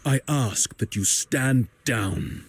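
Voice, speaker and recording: An actor playing a stern, dutiful magistrate in a video game, speaking in a formal, measured and solemn way. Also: male voice, mid-range voice, slightly rough. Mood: stern, restrained. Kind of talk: scripted video game voice acting.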